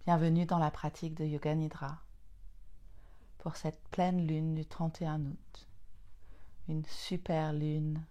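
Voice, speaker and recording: A woman leading a guided yoga nidra relaxation in a soothing, unhurried manner, in short phrases separated by long pauses. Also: low voice, flat delivery, mellow.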